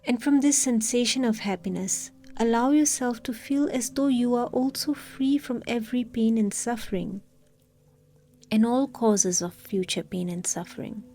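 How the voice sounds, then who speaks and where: Mellow-voiced woman guiding a meditation. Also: a little high. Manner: calm, soothing, unhurried with long pauses. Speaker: meditation guide. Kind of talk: guided meditation, scripted.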